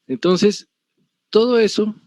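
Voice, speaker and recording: A man's voice: sits high, takes it slowly, darkish tone, dry recording, studio quality. A middle-aged man with a Colombian accent giving an online pathology lecture, digressive and often checking with tag questions. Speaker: university lecturer.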